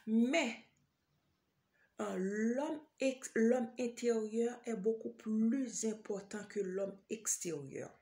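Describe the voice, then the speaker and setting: A woman's voice: a little high, slow pace, warm tone. A woman from Haiti with a Haitian accent, preaching an informal sermon straight to the listener, hesitant, full of fillers and repeated phrases. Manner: addresses listener directly.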